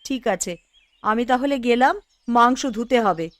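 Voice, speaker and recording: A woman playing a scolding, fussing village wife and mother in a Bengali animated cartoon, with a nagging, exclamatory delivery. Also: a little high, moderate pace, no echo, lightly acted. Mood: brisk.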